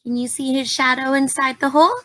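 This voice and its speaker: A woman reading a children's storybook aloud like a teacher, in an expressive, deliberate way, with simple asides and questions to her young listeners. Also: high-pitched, dry recording.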